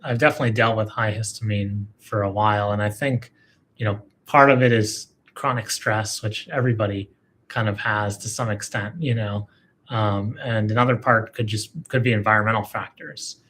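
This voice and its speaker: A man, a physician host, talking casually about health, relaxed and hedging with frequent fillers. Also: on the low side, normal speed, a little hiss or hum. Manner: relaxed, hedging with "you know". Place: livestream conversation.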